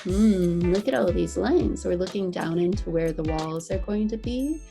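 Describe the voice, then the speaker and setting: A woman reading a picture book aloud to young children in an animated, encouraging way, explaining things simply as a teacher would. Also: fairly low pitch, moderate pace, vocal fry, soft background music.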